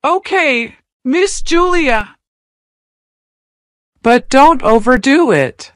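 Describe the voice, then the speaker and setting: A woman voicing a cautious adult school colleague in a cartoon, doubtful and measured in scripted dialogue. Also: high voice, animated, a bit bright, no echo, clean studio sound, heavily acted.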